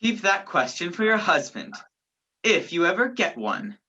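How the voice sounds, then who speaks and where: Woman playing a sardonic man on the run, low-voiced. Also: big pitch swings, a little slow, pushed and bright, full character voice. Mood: earnest, sardonic. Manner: dry sarcasm, clipped orders. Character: sardonic, commanding man on the run, falsely accused. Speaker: student stage actor. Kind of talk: radio play acting. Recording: dull, lo-fi virtual recording.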